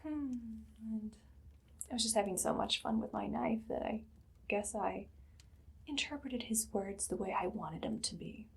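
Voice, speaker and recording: A woman playing an imperious, idly cruel evil queen in an ASMR roleplay, soft-spoken and often whispering in a languid, condescending way. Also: mid-range voice, unhurried, vocal fry. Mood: musing, gloating. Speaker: ASMR performer.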